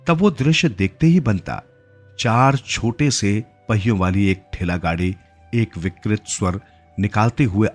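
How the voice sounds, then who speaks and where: A man narrating a scripted literary story as a storyteller. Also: lowish voice.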